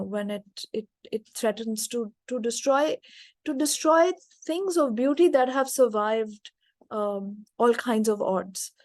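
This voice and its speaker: Woman from India, high gravelly voice, dry close sound, hesitant speaker. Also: darkish tone, no room sound. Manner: hesitant, many fillers. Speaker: invited speaker. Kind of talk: academic talk.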